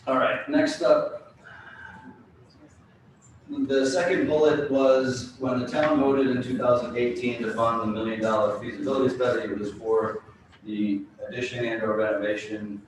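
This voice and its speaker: Man, low voice, public forum presenter, measured, echoey hall, off-mic. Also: distant mic, slight background noise. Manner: measured, reads slides and fields interruptions. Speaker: school building committee presenter.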